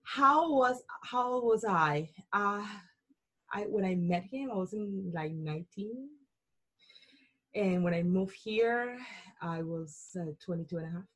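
A woman's voice: medium pitch, expressive, unhurried, fairly bright tone. A bubbly woman with a Colombian Spanish accent, a native Spanish speaker, chatting on a podcast. Her voice sits in an ordinary middle register. She laughs easily and often restarts her sentences as she goes. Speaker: podcast host being interviewed. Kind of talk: casual podcast chat.

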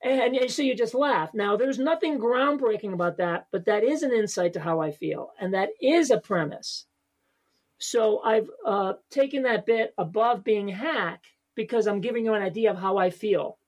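High-voiced man rambling about comedy. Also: normal speed. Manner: rambling, many fillers and restarts. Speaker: stand-up comedian guest. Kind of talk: casual podcast interview.

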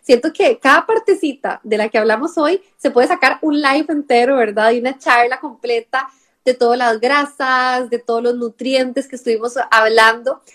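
A woman's voice: high voice, moderate pace, a bit bright. Chatty Costa Rican woman host. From Costa Rica. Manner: chatty, many fillers and tag questions. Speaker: podcast host. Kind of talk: casual conversational interview.

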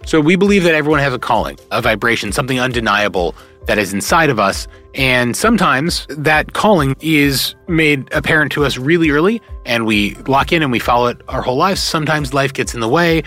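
A man's voice: medium pitch, expressive, a little fast, bright, forceful tone, soft background music. Earnest man hosting a podcast intro. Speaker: podcast host. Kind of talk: scripted show introduction.

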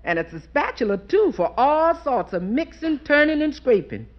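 A woman's voice: sits high, big pitch swings, normal speed. A woman playing a folksy, chatty household cook in a 1940s radio show, on a dull old radio recording.

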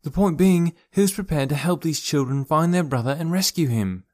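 Man voicing a passionate, commanding woman leader, raised pitch. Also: normal speed, close to an everyday voice. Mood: challenging. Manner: frustrated, challenging, speaking with quiet authority. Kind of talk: audiobook character voice.

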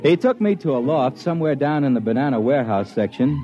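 Radio drama narrator, male actor: dry wit, skeptical, expressive pitch, dull vintage sound with music underneath. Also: quick pace, lightly acted. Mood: wry, storytelling. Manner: dry, wry, hesitant interjections. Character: wry, skeptical male narrator drawn into a scheme. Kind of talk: first-person old-time radio storytelling. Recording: muffled old radio recording.

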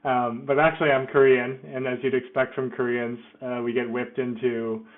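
A man's voice: mid-range voice, level tone, normal speed, phone-quality sound. A middle-aged man speaking as an interview guest, self-deprecating and often restarting his sentences. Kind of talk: casual interview.

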